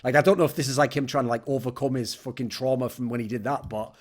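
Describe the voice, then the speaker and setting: Fast-talking man ranting bluntly. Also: medium pitch, steady tone. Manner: blunt, ranting, swears casually. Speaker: guest esports commentator. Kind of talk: opinionated podcast discussion.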